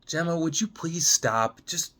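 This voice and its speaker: A man's voice: fairly high pitch, a little slow, fairly bright tone, voice pushed up. A man acts out a lovesick young man in a romantic drama. He is earnest and exasperated, pleading with the one he loves. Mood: frustrated, pleading. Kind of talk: dramatic screenplay reading.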